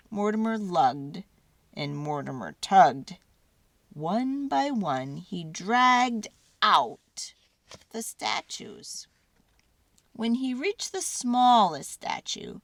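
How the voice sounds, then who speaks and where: A woman reading a children's storybook aloud dramatically, with theatrical, deliberate phrasing and drawn-out words. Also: mid-range voice, vocal fry, no room sound.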